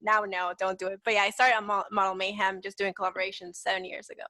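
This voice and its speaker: A woman's voice: medium pitch, flat delivery, fast talker, sharp tone, no echo. A woman in her twenties from El Salvador with a Salvadoran Spanish accent, chatting casually and upbeat as an interview guest. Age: 20s. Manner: chatty, upbeat, says "like" often. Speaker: guest, petite model.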